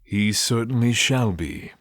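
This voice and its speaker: A man's voice: mid-range voice, unhurried, fairly bright tone, dry recording, clean studio sound, close to an everyday voice. A man playing a proud, defensive god of invention, haughty and aggrieved, in a fantasy audio drama.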